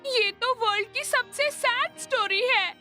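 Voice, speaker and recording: A bossy cartoon little girl, talks in an extremely high, piping voice. She is blunt and sassy, with loud music behind her. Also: a little slow. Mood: deadpan. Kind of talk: Hindi cartoon dub acting.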